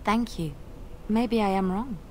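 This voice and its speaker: An actress playing a devout, gentle young former minstrel with a French accent, speaking earnest, lilting video game dialogue. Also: female voice, mid-range voice, a little slow, a little hiss or hum, close to an everyday voice. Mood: reflective, earnest.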